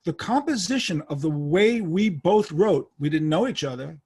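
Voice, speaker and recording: Husky, higher-voiced older male host. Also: lively intonation, normal speed, darkish tone. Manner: eager, talkative, leads with anecdotes. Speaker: podcast host. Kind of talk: casual interview chat.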